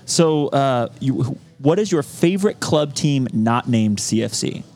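Upbeat male podcast host, casual interviewer, mid-pitch voice. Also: expressive, a little slow, a little mellow. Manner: upbeat, brief reactions. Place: brewery.

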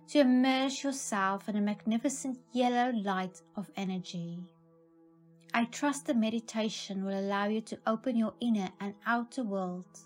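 A woman narrating a scripted guided meditation in a calm, soothing, measured manner. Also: mid-range voice.

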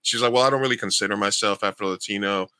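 A man's voice: lowish voice, quick pace, pushed and bright, clear, resonant tone, no echo. A man hosting a casual podcast chat, rambling and repeating phrases with enthusiasm. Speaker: podcast host.

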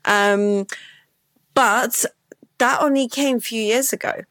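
Woman, from Poland, native Polish speaker, podcast host, animated, repeats words, dry sound. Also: on the high side, slow pace, no echo. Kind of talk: casual podcast interview.

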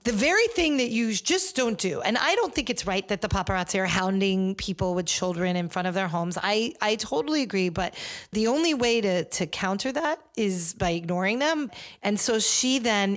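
A woman hosts a podcast, talking in a chatty, outspoken way with sarcastic asides. Her voice sits at a middle pitch. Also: lively intonation, moderate pace. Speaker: podcast host. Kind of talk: casual chat.

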